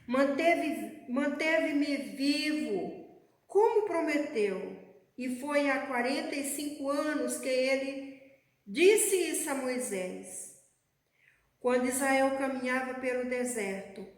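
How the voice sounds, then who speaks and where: A woman preaching a sermon in a church, speaking slowly and calling the congregation beloved. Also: high voice. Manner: slow, measured preaching, addressing the congregation as beloved. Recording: echoey church hall.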